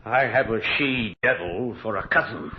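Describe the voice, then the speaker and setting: An actor playing a haughty, hot-tempered prince in a radio horror drama, with an imperious, declamatory delivery. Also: male voice, fairly low pitch, normal speed, close to an everyday voice. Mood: bitter, resolved. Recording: muffled, noisy old radio recording.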